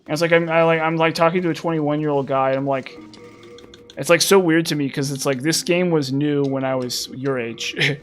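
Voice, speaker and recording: Male streamer, 30s, a touch high-pitched, rambling live chat with viewers, soft game music behind. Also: fairly even intonation, moderate pace. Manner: chatty, rambling, talks straight to viewers. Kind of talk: casual live-stream chat while playing.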